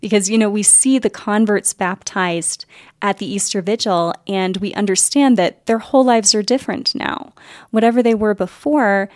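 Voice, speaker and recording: A woman hosting a faith podcast, speaking in a thoughtful, earnest way with gentle enthusiasm. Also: medium pitch, moderate pace, no echo, clean studio sound. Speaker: podcast host. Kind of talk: conversational religious podcast talk.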